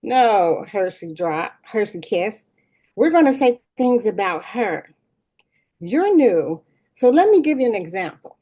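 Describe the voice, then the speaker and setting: A woman's voice: mid-range voice, sing-song, measured pace, dark tone. A woman playing a self-important, petty school principal with a breezy, tactless manner in a sitcom table read. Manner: breezy, pompous, mock-reasonable.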